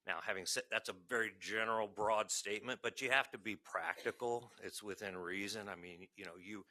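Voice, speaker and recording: A man, a legal counsel, giving measured, cautionary advice at a public board meeting into a table microphone, with many fillers and a voice he calls soft. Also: low voice. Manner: says he has a soft voice. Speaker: legal counsel advising a state education board.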